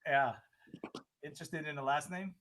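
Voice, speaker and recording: A man with a Serbian accent hosts a casual livestream interview. He is relaxed, laughs easily and answers with short agreeing words. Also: a little high, normal speed, rough recording. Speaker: show host.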